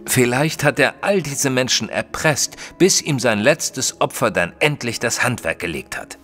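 An actor playing an earnest detective's companion, narrating an audio drama in a measured, literary way. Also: male voice, medium pitch, animated, fairly bright tone, faint music behind. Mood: measured, curious.

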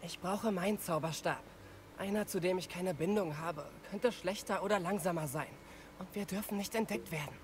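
Game role: captive gentleman wizard, male actor, measured and urgent, clear ambience behind. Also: high voice, slight background noise, natural voice. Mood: composed, urgent. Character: composed captive adult male wizard, worried husband. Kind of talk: video game dialogue.

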